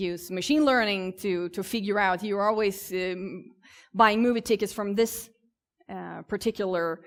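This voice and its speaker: Swedish woman giving an informal tech talk. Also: mid-range voice. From southern Sweden. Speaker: conference speaker. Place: conference stage.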